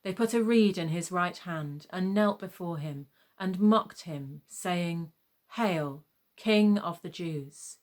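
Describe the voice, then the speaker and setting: Female narrator for a dramatised gospel reading, slightly low pitch, solemn and measured delivery. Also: a little mellow. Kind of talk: scripted scripture narration.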